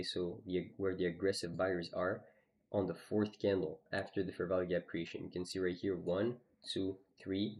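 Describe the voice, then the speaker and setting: Man, deep low voice, live-stream trading recap, casual, fillers, streaming mic. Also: darkish tone. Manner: casual, explanatory, fillers like "you know" and "okay".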